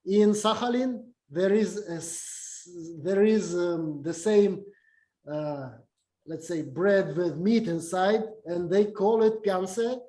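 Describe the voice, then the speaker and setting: A man whose first language is Russian gives a halting academic lecture in English, with many fillers and restarts. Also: high-pitched, warm tone, echoey room. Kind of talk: academic talk with slides.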